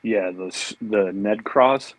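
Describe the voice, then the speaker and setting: A man's voice: on the low side, slow, dry recording, low-quality recording. A man with a laid-back, slangy way of talking, chatting casually about fishing as a podcast guest.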